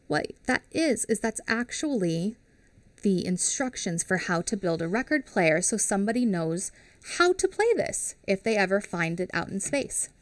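A woman's voice: medium pitch, dry recording. A woman in her thirties reads a picture book aloud to young children, her voice bounding up and down as she explains each page in simple words. She speaks into a headset mic in a small home studio, and the sound is close and dry. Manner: animated and expressive, explaining slowly to young kids. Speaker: teacher reading aloud to children.

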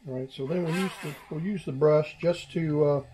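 Man narrating repairs over clear background noise. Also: fairly high pitch. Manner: unhurried, thinking aloud. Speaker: electronics repair hobbyist and host. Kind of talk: casual hands-on tutorial narration while working. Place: small indoor workbench.

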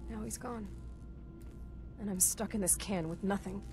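An actress playing a tough, grieving young vault mechanic in a video game, speaking bluntly and emotionally. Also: female voice, mid-range voice, normal speed, over music, lightly acted. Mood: bitter, angry. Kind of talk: video game character acting.

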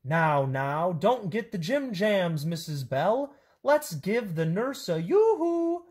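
A woman voicing a fussy, brisk male school principal who speaks in singsong silly phrases. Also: lowish voice, big pitch swings, darkish tone, voice pushed up. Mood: brisk, soothing.

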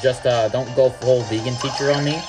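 A young man chatting loosely as he plays, wandering from topic to topic and cursing without much thought. His voice sits at an ordinary middle pitch. Loud game music plays under everything he says. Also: steady tone, moderate pace. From Mexico. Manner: rambling, off-topic, swears freely. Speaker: gaming YouTuber. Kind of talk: casual let's-play commentary.

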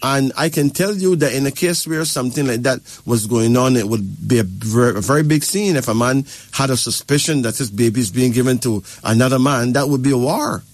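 A man's voice: mid-range voice, a little fast, few pauses, no echo. A man from Barbados, giving advice in a lecturing way and often checking in with "right?" or "okay?". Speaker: spiritual advisor. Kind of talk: casual advice talk.

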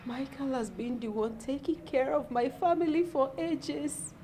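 A woman's voice: high voice, fairly even intonation, measured pace, mellow, slight background noise. An actress playing a loyal, insistent young woman in a film drama. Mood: earnest.

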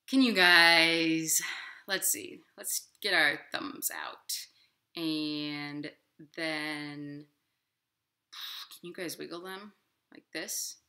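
A woman hosting storytime, speaking in simple, clear phrases to young children. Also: deep voice, drawn-out words, long pauses, bright, forceful tone. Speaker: children's library storytime host. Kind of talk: storytime for young children, talking and reading aloud. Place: living room at home, indoors.

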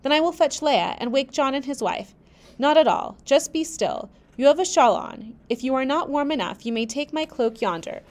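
A woman reading aloud, voicing a brusque, commanding adult gentleman who barks orders. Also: high-pitched, expressive, normal speed, voice pushed up.

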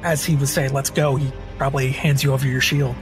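A man's voice: medium pitch, little pitch movement, brisk, over music. A man playing a tabletop roleplaying game, casually switching between folksy in-character talk and describing his character's actions.

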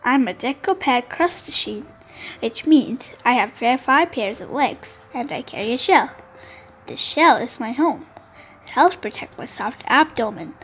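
Expressive high-pitched woman reading a storybook. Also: breathy edge. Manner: expressive, deliberate phrasing. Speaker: storybook narrator. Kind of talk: read-aloud narration for young children.

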